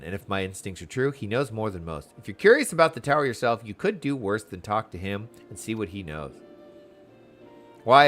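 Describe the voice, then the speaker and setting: A man's voice: mid-range voice, a bit bright. Man reading as a courtly, curious fantasy townsman. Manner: courtly, old-fashioned, chatty. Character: genial, curious male official in a fantasy town.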